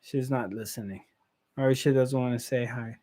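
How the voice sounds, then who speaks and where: A man's voice: mid-range voice, steady tone, normal speed, dark tone. A man hosting a casual online group chat, often repeating and stammering over his words. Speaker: host, spiritual teacher. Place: online video call.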